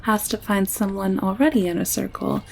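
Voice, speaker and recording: A woman acting a friendly, candid alien woman in an audio roleplay drama, chatting in a caring, gently teasing way. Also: mid-range voice, normal speed, vocal fry, slight background noise, natural voice. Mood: reassuring, open.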